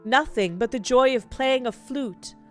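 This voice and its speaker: A woman's voice: high voice, measured pace, faint music behind, pitched above the performer's own voice. A woman voicing an eager, wide-eyed young noble boy wizard in a fantasy game story. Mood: dreamy, earnest.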